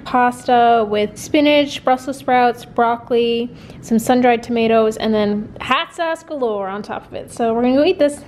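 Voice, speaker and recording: A woman's voice: fairly high pitch, slight background noise. A young woman from the United States chatting casually to camera in a vlog, upbeat and rambling with plenty of "likes.". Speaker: vlogger. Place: at home and out and about. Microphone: handheld compact camera.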